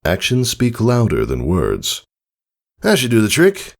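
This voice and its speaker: A man's voice: on the low side, huge pitch swings, a little slow, pitched above the performer's own voice, heavily acted. A man voicing a confident, fatherly pegasus stallion in a storybook reading, folksy and instructive. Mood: upbeat, casual. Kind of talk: character dialogue in audiobook reading.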